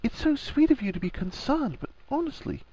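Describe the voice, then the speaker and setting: Man voicing an earnest young woman, pitched very high. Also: sing-song, fairly quick, breathy edge, dry recording, highs cut off, exaggerated voice. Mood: tender, reassuring. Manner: soft, coaxing, reassuring. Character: earnest young woman, a gently coaxing wife.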